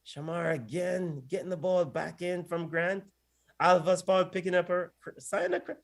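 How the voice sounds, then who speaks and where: A man doing casual play-by-play on a watch-along stream, and often pleading with the players and the referee. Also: a little high. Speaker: co-host and match commentator.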